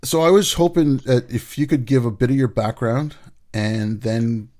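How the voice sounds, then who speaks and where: A man's voice: medium pitch, moderate pace, warm tone. A man hosting a podcast interview, talking in a loose, casual way with lots of fillers. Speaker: podcast host.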